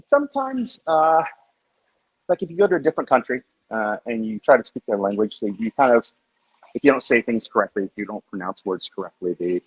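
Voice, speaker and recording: A man's voice: medium pitch, very animated, fairly quick, slightly rough, dry recording, low-quality recording. A man from the United States, a chef, chatting easygoingly in an interview over a remote call, with lots of fillers. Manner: easygoing, self-deprecating, many fillers. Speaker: chef and guest. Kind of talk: casual interview chat. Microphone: remote call on the move.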